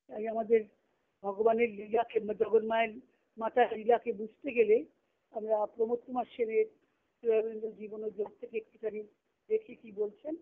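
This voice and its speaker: A woman's voice: on the high side, level tone, normal speed. A woman spiritual teacher giving a devotional discourse over a phone conference call, speaking didactically in short phrases with repetition and rhetorical questions. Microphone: telephone. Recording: narrow, tinny phone conference line.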